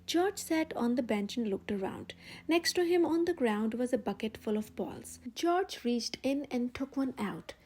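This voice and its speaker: A woman narrates a picture book for small children. Her voice is high and swings widely in pitch, with a soft, mellow tone. She reads expressively, leaning on key words. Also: unhurried. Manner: expressive, emphatic delivery for young children. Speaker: storyteller, channel host.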